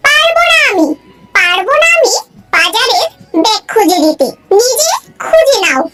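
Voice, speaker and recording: A performer voicing a quarrelsome, sarcastic bird wife in an animated cartoon, scolding and aggrieved. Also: extremely high pitch, normal speed, over loud music. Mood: scornful, aggrieved. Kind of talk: Bengali animated cartoon dialogue.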